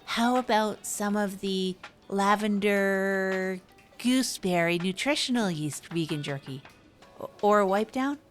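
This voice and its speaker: Female actor as hapless radio show host; earnest, halting, self-deprecating monologue over faint music. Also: medium pitch, close to an everyday voice. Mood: hesitant, hopeful. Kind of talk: improvised comedy audio drama monologue.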